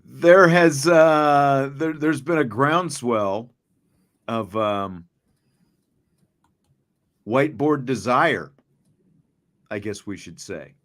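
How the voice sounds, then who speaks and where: A middle-aged man hosting a casual sports talk show over a video call, joking and animated. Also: mid-range voice, extremely slow, pauses often. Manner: joking, animated, gestures while talking. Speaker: show host. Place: home studio with framed pictures. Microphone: large studio mic with headphones, video call.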